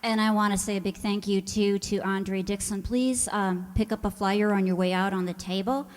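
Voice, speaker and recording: A woman's voice: medium pitch, steady tone, moderate pace, a little hiss or hum. A woman giving a live thank-you address to an audience from the stage of an auditorium. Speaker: radio players' member giving thanks from the stage. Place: large indoor township auditorium, on stage. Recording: echoey auditorium with long reverb.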